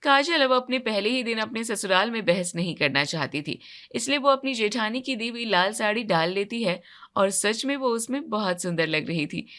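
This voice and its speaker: Female narrator for scripted family drama stories, bright and fairly high-pitched. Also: animated, moderate pace. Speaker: story narrator.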